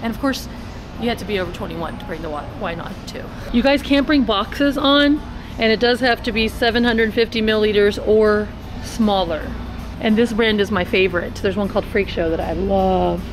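A middle-aged woman chatting casually to viewers as a travel vlogger, in an excitable, rambling way. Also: medium pitch, moderate pace, music in the background. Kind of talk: casual vlog chat to viewers. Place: supermarket, parking lot, shuttle bus. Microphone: handheld camera at arm's length. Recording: noisy action camera on location.

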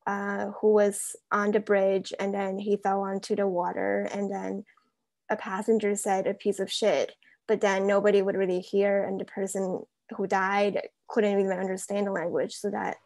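A young woman speaks as a student in an online seminar, with little movement in her pitch. She is casual and hesitant, working out her thoughts aloud over a video call. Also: monotone, normal speed, darkish tone, poor audio. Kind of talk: casual class introduction.

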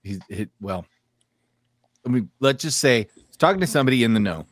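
A middle-aged American man hosting a casual podcast, swearing freely and often halting mid-sentence. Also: mid-range voice, lively intonation, no echo, studio quality. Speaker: podcast host. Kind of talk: casual chat. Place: small room at home, on a video call.

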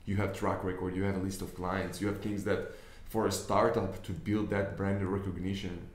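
A young Israeli man talks to camera from an office desk, his voice low and fairly level in tone. He explains his points earnestly and often restarts his sentences. The room gives his voice a clear echo. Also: level tone, moderate pace. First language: Hebrew. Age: young adult. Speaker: business investor. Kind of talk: casual talk to camera. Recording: echoey room.

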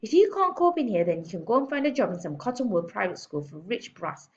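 A woman's voice: mid-range voice, big pitch swings, quick pace, a little mellow, dull audio, a little hiss or hum. A woman voicing a domineering, contemptuous headmistress who barks and sneers in a children's storybook reading. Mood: scornful. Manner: barking, scolding, sneering insults. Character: domineering, bullying adult headmistress, contemptuous and loud. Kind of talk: children's storybook read-aloud.

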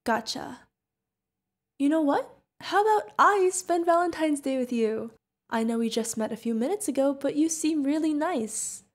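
A woman's voice: sits high, lively intonation, normal speed. A woman playing a sweet, curious, friendly feathered alien bartender in an ASMR roleplay, chatting directly to the listener. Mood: amused, helpful, then sweet, delighted.

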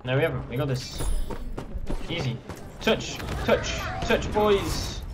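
A young man on team voice chat, making excitable callouts and teasing his friends. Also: fairly high pitch, slow pace, background noise. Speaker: teammate. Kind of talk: casual gaming voice chat.

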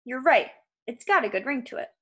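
Storybook boy hero, sly and guarded, casual front, animated higher register , woman performing. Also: a little fast. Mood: falsely flattering. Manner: feigned casualness with a bully. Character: young boy hero, wary, quick-witted, slyly flattering.

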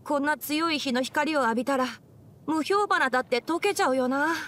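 A woman playing a young male fox-eared forest ranger in a game, bookish and brisk, with a matter-of-fact, helpful manner. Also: high voice, fairly bright tone. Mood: distressed, complaining. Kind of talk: Japanese anime-style game character voice lines. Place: studio. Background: background music.

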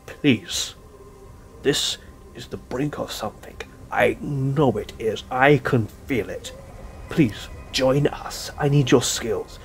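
A man's voice: mid-range voice, natural voice. A man playing a driven, zealous scientist-explorer in an audio drama, earnest and intense. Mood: pleading, urgent. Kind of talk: dramatic audio play acting.

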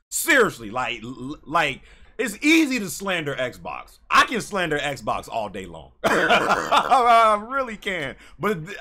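A man's voice: mid-range voice, pushed and bright. An American man in his thirties ranting casually to camera as a gaming YouTuber, emphatic and given to repeating phrases. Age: 30s. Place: small bedroom, indoors.

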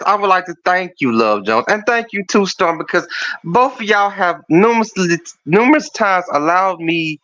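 A man's voice: high-pitched, sharp tone. A man calling in to a chat show, with a feminine-sounding voice, talking bluntly and rambling with plenty of swearing. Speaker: caller. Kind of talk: casual call-in chat. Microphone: video call. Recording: muffled call audio.

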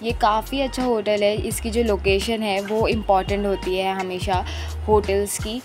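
A young woman with an Indian accent gives a casual vlog voice-over. She keeps dropping English phrases into her talk as she guides the viewer along. Her pitch sits in an ordinary female range, and loud music plays behind her. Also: little pitch movement, moderate pace. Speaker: travel and food vlogger.

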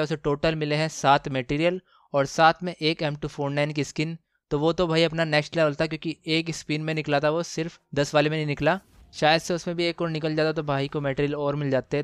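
A man giving casual, excited gameplay commentary, slangy and exclamatory, repeating phrases for emphasis. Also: fairly high pitch, fast talker, clear, resonant tone, no echo, studio quality. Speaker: gaming channel host.

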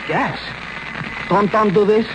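A man's voice: high-pitched, takes it slowly. A man playing a hot-tempered, naive henchman with a Spanish accent and broken English in a vintage radio drama. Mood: wary, compliant. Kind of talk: 1940s radio drama acting. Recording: noisy old radio recording.

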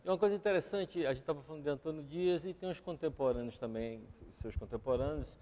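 A man, hosting a TV interview in a studio, lively and chatty, often cutting in. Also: on the high side, fast, a little hiss or hum. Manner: lively, chatty, talks over the guest. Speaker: TV show host. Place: TV studio set. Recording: long studio reverb.

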